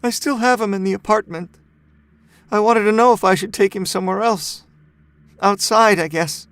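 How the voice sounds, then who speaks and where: A man's voice: sits high, normal speed, a bit bright, no room sound, voice pushed up. A man voicing a distraught, weary mother in a story, tearful and pleading. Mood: anxious, tearful. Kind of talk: acted dialogue in audiobook narration. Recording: music bed under the voice.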